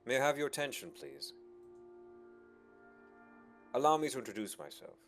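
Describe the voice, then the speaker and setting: A man's voice: mid-range voice, big pitch swings, moderate pace, a bit bright, voice pushed up. Man voicing confident male expedition leader, formal, authoritative, visual novel character, faint background music. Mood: formal, assured.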